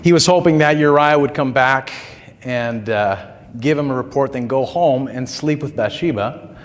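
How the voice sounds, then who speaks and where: Male pastor, mid-pitched, slightly hoarse, conversational storytelling sermon, long church reverb. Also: moderate pace. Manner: conversational, folksy storytelling with filler words. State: recovering throat, slightly hoarse.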